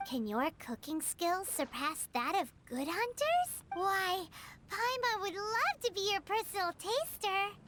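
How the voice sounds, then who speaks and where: A woman voicing a tiny, childish, cheeky fairy companion in a video game, greedy, excitable and exclamatory. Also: very high-pitched, background noise, exaggerated voice. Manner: refers to self in third person, exclamatory. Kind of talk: animated video game character acting. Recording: game music behind the voice.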